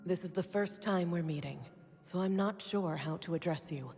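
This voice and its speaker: A woman playing a cold, imperious master alchemist, speaking in a measured, formal, detached way in a video game. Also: lowish voice, a little mellow, lightly acted. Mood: cold, solemn. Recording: long hall-like reverb, game music.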